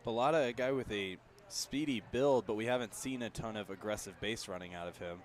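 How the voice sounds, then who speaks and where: A man's voice: on the low side, a little fast. A man giving live analytical color commentary on a baseball broadcast from an outdoor ballpark.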